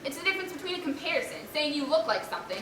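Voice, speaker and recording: A woman's voice: sits high, sing-song, racing. A young woman on stage playing an exasperated, condescending theatergoer, speaking with sarcastic precision and projection. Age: teenager or young adult. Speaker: school play actor. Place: large school auditorium stage. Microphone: far camera. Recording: distant camera in echoey auditorium, faint noise.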